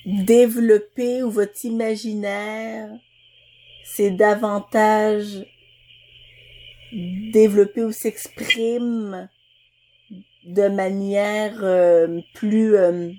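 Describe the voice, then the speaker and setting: A woman interviewing a guest, asking unhurried questions and giving frequent short agreements. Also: medium pitch, little pitch movement, mellow.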